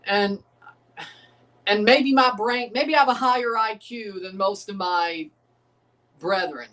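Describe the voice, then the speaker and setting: A man hosts a relaxed livestream reaction, talking in loose, profane rambles. He keeps doubting his own points as he goes. His voice sits a little back from the microphone. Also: high-pitched, slow pace, distant mic. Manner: rambling, profane, second-guessing himself. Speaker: livestream host reacting to a match. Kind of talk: casual livestream reaction chat.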